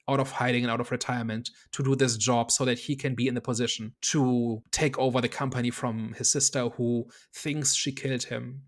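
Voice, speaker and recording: A man's voice: medium pitch, flat delivery, fairly quick, hardly any pauses. A man hosting a casual movie review podcast, talking things through analytically and hedging as he reasons aloud. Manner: analytical, thinks aloud with hedges. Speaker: podcast host.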